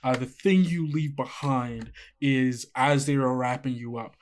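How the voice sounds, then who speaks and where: A man's voice: mid-range voice, unhurried. A man working as game master, narrating an improvised tabletop scene in a conversational, piecemeal way.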